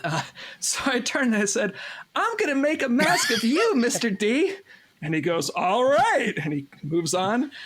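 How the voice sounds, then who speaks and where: A husky-voiced speaker tells a story casually in a group interview. The pitch moves around in a lively way, and laughter runs through the talk. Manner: laughs while talking.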